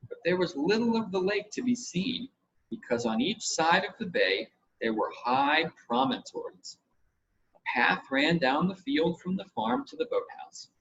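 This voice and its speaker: A man reading a children's adventure story aloud in expressive scripted narration. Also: sits high, measured pace, creaky. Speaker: audiobook reader. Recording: dull, lo-fi with audible background noise.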